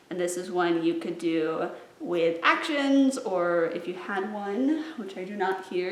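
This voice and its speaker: A woman hosting a children's storytime vlog, chatting to viewers in an upbeat, enthusiastic way. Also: medium pitch. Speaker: children's library storytime host. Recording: roomy, echoey indoor sound.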